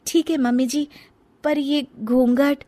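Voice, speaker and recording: A woman's voice: high-pitched, fairly even intonation, slow, warm tone, voice pushed up. A woman voicing an anxious, meek young daughter-in-law with a secret in a family story, pleading and deferential. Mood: nervous, deferential. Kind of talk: dramatized Hindi family storybook acting.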